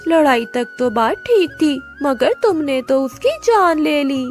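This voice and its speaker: Cartoon crow mum as a female narrator plays her: high, dramatic, protective, exclaiming slowly over music. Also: animated. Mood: frightened. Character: fierce, dramatic mother crow, protective and nagging.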